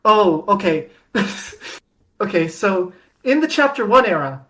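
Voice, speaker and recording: A woman's voice: medium pitch, big pitch swings, unhurried, fairly bright tone. A woman chatting casually as a podcast guest, rambling with many restarts and fillers. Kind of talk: casual chat.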